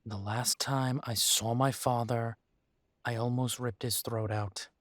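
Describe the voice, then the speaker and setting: A man acts out a male werewolf in an intimate audio roleplay. His medium-pitched voice is very dry, with no room around it. He speaks to his mate in a halting, exasperated way, weary and torn. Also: steady tone, dry recording, natural voice. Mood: pleading, tender. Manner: exasperated, halting, sighing delivery.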